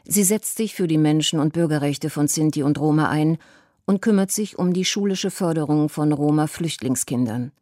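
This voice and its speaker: A woman with a low, dark voice reads a radio documentary script. Her delivery is calm and even, each sentence measured out. The recording is dry and close. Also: no room sound. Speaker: documentary narrator.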